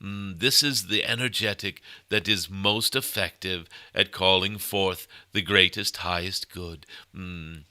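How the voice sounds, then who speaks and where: A man voicing a benevolent cosmic golden dragon spirit, delivering a spiritual message in formal, halting phrases punctuated by murmured hums. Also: low voice, fairly even intonation, pushed and bright, no room sound, full character voice. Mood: serene, reassuring. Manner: formal, otherworldly phrasing, tenderly addressing listeners. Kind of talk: channeled spiritual message, sermon-like.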